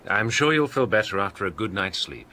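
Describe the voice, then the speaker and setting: An actor playing a reserved, courteous scholarly houseguest in a radio drama, speaking formally. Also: male voice, on the low side, big pitch swings, fairly quick, sharp tone, a little hiss or hum, exaggerated voice.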